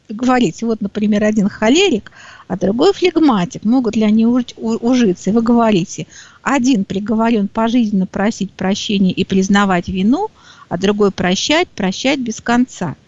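A woman's voice: a little high, moderate pace, a little mellow, dry recording. A woman co-hosting a casual discussion, speaking conversationally and hesitantly as she searches for words, on a dull recording. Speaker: talk show co-host. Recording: dull, band-limited sound.

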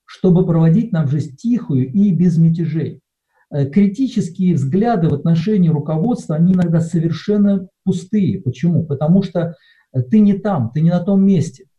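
A man's voice: a little high, lively intonation, normal speed. A middle-aged man from Russia preaching a sermon in a conversational way, with many hesitations. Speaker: pastor. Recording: dull lo-fi sound.

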